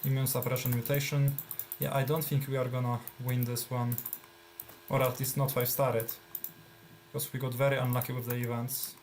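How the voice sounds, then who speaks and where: A man with a Polish accent talks through his gameplay at a relaxed, middling pitch. He thinks aloud, trailing off with fillers and restarts. Faint background noise and keyboard typing sit under his voice. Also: a little fast. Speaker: gameplay streamer. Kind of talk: casual live gameplay commentary.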